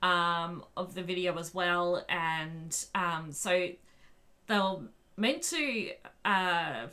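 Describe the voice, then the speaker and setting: A woman's voice: on the low side, drawn-out words, sharp tone. A woman co-hosting a podcast in a casual fan discussion. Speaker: podcast co-host.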